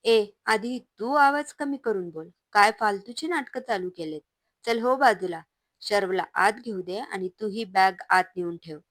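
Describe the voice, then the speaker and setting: Woman voicing an angry loud husband. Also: mid-range voice, lively intonation, moderate pace, dry recording, natural voice. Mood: furious. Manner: shouting, scornful, loud. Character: angry domineering husband, a man.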